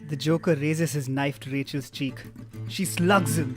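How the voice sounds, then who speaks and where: A man's voice: on the high side, steady tone, moderate pace. A man reading screenplay stage directions as the narrator of a table read. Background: guitar music.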